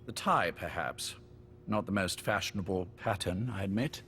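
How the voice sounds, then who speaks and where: Casting: older, ailing spymaster for game drama; lowish voice, urbane, wry, quietly menacing. Noisy, rumbling recording. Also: male voice. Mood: courteous, wry. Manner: urbane, measured, wryly polite. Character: genteel, sickly older British male spymaster, courteous but menacing.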